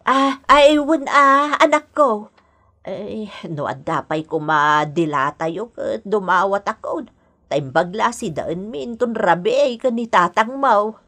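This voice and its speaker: A woman's voice: medium pitch, animated, slow, bright, forceful tone, full character voice. A Filipino woman with an Ilocano accent acting a quarrelsome older mother-in-law in a radio drama, theatrical and complaining. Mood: wheedling. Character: scolding, wheedling older mother-in-law, quick to take offence.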